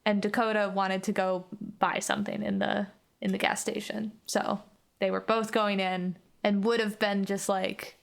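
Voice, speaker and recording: A woman's voice: medium pitch, flat delivery, normal speed, gravelly. A woman improvising casual first-person narration as a player in a tabletop roleplay game.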